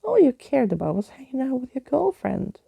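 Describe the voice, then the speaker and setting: A woman's voice: sits high, big pitch swings, normal speed, creaky, dark tone, husky, no echo, pitched above the performer's own voice, exaggerated voice. A woman voicing a sulky, impatient female creature in a storybook reading, blunt and sarcastic. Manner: tutting, sarcastic.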